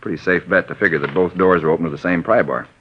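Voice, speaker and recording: A man's voice: low-pitched, quick pace, close to an everyday voice. A man playing a laconic crime lab technician. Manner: laconic, matter-of-fact. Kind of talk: 1950s radio drama acting. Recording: dull old radio broadcast with hiss.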